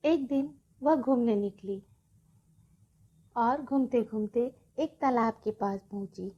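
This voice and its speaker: Female children's storyteller, high voice, very slow pacing, on a dull lo-fi recording. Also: dark tone, breathy edge. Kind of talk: narrated children's moral story.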